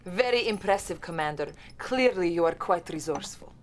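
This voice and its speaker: An actress playing a composed, dutiful Soviet intelligence officer in a video game cutscene, formal and attentive. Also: female voice, on the low side, expressive, moderate pace, a little hiss or hum, close to an everyday voice. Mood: admiring.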